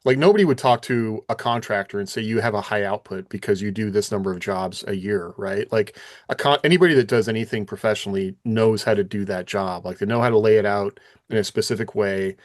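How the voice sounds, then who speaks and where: Male podcast host, lowish register, conversational questioner, dry recording. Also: fairly quick, no echo. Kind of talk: casual discussion and interviewing.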